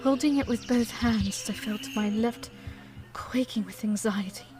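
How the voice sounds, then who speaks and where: A woman's voice: fairly high pitch, unhurried, music in the background, natural voice. A woman playing an older, devoted, grieving widow of a composer, telling her story formally and wistfully in a period audio drama.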